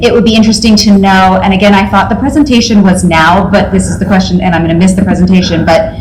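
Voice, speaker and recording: Woman, public-comment speaker, hesitant and rambling, roomy hall sound. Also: medium pitch. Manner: hesitant, many fillers and restarts. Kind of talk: public comment at a school board meeting. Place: meeting room. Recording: roomy meeting hall audio, faint noise.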